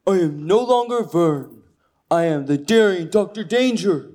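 A man's voice: high voice, expressive, unhurried, darkish tone. A man voicing a foolhardy, self-styled daredevil puppet with naive bravado in a children's puppet show. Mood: grandly bold.

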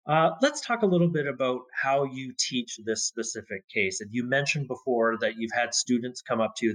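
A man's voice: medium pitch, a bit bright. A man hosting a podcast interview in an effusive, wordy conversational way, stacking one question onto the next. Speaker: podcast host.

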